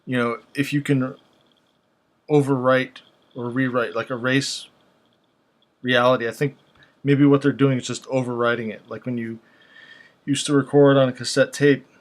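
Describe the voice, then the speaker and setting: A man giving casual, unscripted commentary as a video host, speaking haltingly as he thinks aloud. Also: mid-range voice, little pitch movement.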